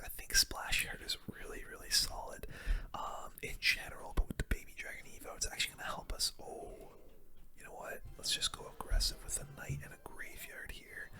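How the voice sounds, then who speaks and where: A gaming host whispers throughout in a soft, relaxed way. The whisper is very bright and sharp, close on the microphone. It is ASMR-style commentary over live gameplay. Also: very high voice.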